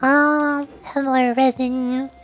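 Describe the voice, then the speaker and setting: A woman's voice: high-pitched, flat delivery, extremely slow, soft background music. A woman livestreamer chatting casually over a game in a playful, theatrical way. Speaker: vtuber streamer.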